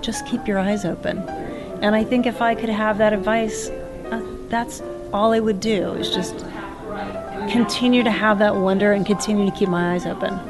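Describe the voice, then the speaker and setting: A woman's voice: mid-range voice, steady tone, moderate pace. Middle-aged woman, wry storyteller. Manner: humorous, warm, storytelling. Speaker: conference interviewee. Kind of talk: wistful anecdotal reflection. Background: music.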